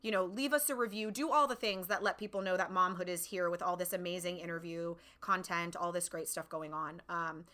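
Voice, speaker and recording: A woman's voice: lowish voice, fairly quick, hardly any pauses, bright, forceful tone. A woman hosting a podcast, bubbly and upbeat, with a chatty style full of "like". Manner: bubbly, upbeat, uses a lot of "like". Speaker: podcast host.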